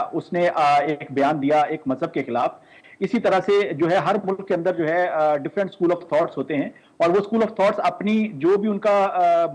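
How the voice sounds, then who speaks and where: A man answering as a TV debate panelist, explaining politely in a halting, hesitant way with frequent pauses. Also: high-pitched, low-quality recording.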